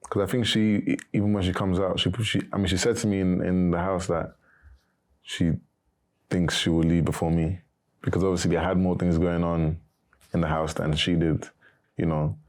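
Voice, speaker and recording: A young man, a former reality show contestant, answering interview questions in a casual, monotone way. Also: sits low, moderate pace. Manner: monotone, not very animated.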